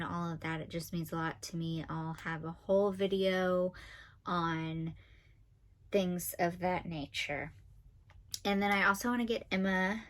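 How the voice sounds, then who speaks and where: A woman in her twenties with a nasal, monotone voice, chatting fast and dryly as a vlogger counting off facts about herself. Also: fairly low pitch. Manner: talks fast and a lot, dry and sarcastic. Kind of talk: casual talking-to-camera list video.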